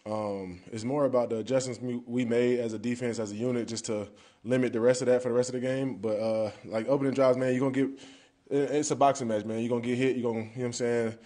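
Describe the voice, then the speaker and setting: A man's voice: medium pitch, flat delivery, quick pace, dark tone. A young man, a football player, answering post-game questions at a podium mic in an indoor press room, casual and conversational, often dropping "man". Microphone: podium mic in front.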